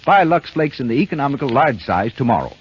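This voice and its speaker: A man announcing on a 1930s radio show, reading an advertisement in a smooth, persuasive way. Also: mid-range voice, sing-song, quick pace, slight background noise. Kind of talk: scripted ad read. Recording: dull lo-fi 1930s radio recording.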